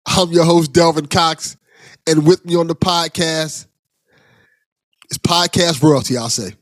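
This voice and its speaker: A man's voice: fairly high pitch, fairly even intonation, a little slow, no echo, clean studio sound. A man hosting a podcast, chatting casually with affectionate banter. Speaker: podcast host. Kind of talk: casual interview chat.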